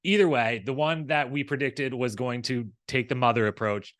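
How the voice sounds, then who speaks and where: A man's voice: medium pitch, normal speed, fairly bright tone, dry recording. A man co-hosting a recap show with casual, conversational commentary, rambling along and often acting out imagined dialogue.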